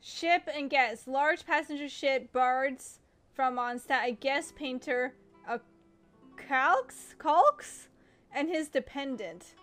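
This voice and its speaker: Young woman streamer, high voice, excitable, rambling live commentary. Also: takes it slowly, faint music behind. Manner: chatty, excitable, thinks aloud. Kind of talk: casual gameplay commentary.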